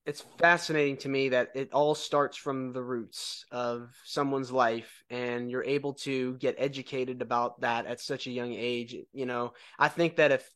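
A man's voice: medium pitch, fairly bright tone, clean tone. A man hosting a podcast, introducing and questioning a guest in an upbeat, encouraging way. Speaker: podcast host. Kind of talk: podcast interview.

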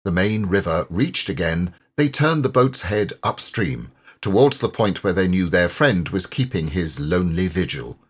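A man narrating a literary audiobook expressively, in a measured and carefully enunciated way. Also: low voice, lively intonation, slightly rough.

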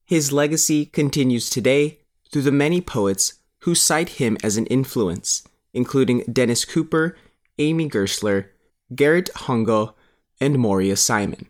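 A man narrating a scripted news article as a reporter, with a measured, formal delivery. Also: medium pitch, animated. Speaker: newspaper reporter reading his article.

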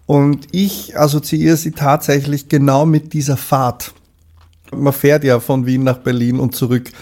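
A man talks in a relaxed-format, casual chat with an Austrian accent. His voice is of middling pitch, and he comes across as a musician in conversation. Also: unhurried.

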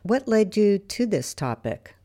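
A woman's voice: mid-range voice, very animated, measured pace, dark tone. A woman producer interviewing a classmate on a podcast, asking encouraging questions.

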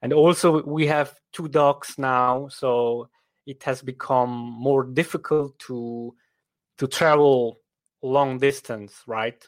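Slow, halting Swiss German man. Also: medium pitch, fairly even intonation. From Switzerland. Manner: halting, with frequent restarts and "right?" tags. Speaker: podcast guest. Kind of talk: casual interview chat.

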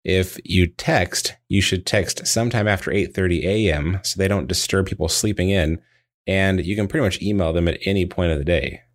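A man narrating a scripted training lesson in a measured, explanatory way, as if reading from prepared text. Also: deep voice. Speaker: dental practice trainer.

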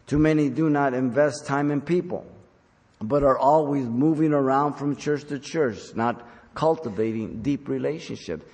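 A man's voice: mid-range voice, normal speed, darkish tone. An older man preaching a sermon as a pastor, earnest and exhorting, repeating phrases for emphasis. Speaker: pastor preaching to his congregation. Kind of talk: sermon with scripture reading. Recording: long hall reverb.

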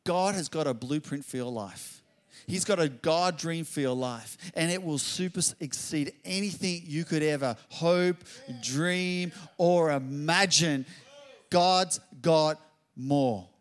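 A middle-aged man delivers a sermon in a slightly raised voice. He tells his story in a lively, conversational way. He then swells into loud, fervent exclamations. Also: on the high side, slow pace. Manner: animated, builds to loud exclamations. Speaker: pastor.

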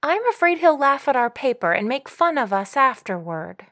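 A woman's voice: high voice, big pitch swings, moderate pace, fairly bright tone, voice pushed up. A woman voicing a young woman who chairs a meeting in a formal, officious way.

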